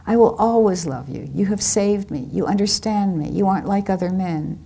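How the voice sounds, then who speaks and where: A woman's voice: mid-range voice, normal speed, gravelly, warm tone. A woman reading the lines of an anguished young mother who speaks in an earnest, pleading way.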